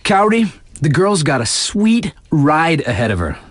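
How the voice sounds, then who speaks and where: A hip video game radio DJ with a slightly high male voice that swings widely in pitch. He reads sports news in slangy, easygoing hype, stretching key phrases, and the sound is dry and close. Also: big pitch swings, no room sound. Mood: upbeat, cool. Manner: slangy, laid-back hype with emphatic drawn-out phrases.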